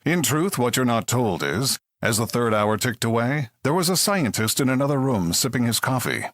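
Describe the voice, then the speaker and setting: A man playing a mock-serious narrator of a comedy space drama, deadpan and grand. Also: medium pitch, normal speed, no room sound, clean studio sound.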